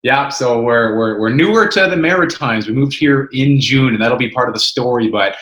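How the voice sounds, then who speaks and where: A man's voice: medium pitch, moderate pace, fairly bright tone, reverberant, low-quality recording. A Canadian man in his twenties from Canada, an interview guest earnestly telling his personal story in a frank, conversational way. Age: 20s. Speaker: ministry co-founder, guest.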